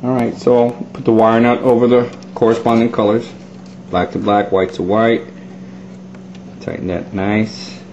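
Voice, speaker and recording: A man's voice: fairly low pitch, little pitch movement, measured pace, darkish tone. A man methodically instructing viewers step by step in a hands-on DIY wiring demonstration. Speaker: plumbing instructor. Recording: dull lo-fi sound with faint noise.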